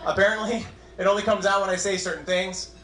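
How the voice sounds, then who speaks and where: Man, new england accent, stand-up comic, dry self-mocking jokes, slightly high voice, faint room noise. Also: steady tone, quick pace, fairly bright tone. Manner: wry, self-deprecating punchlines. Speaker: stand-up comedian. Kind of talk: stand-up set. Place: comedy club stage. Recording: echoey club room.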